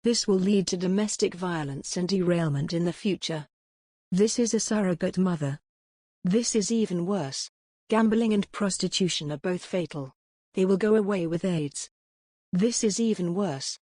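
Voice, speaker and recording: A woman's voice: lowish voice, normal speed, dark tone, no room sound, studio quality, lightly acted. A woman voicing a dramatic, grumbling young male butler in an audiobook, in a plaintive, flippant manner. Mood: judgmental. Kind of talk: audiobook character dialogue.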